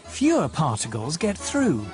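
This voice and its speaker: A man narrating a documentary in a measured, deliberate scripted delivery. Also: on the high side, huge pitch swings. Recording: narration over music from a film.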